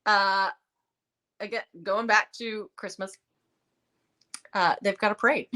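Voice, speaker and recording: A woman co-hosting a podcast in casual chat, dropping quick playful asides. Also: mid-range voice, steady tone, pushed and bright. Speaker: podcast co-host.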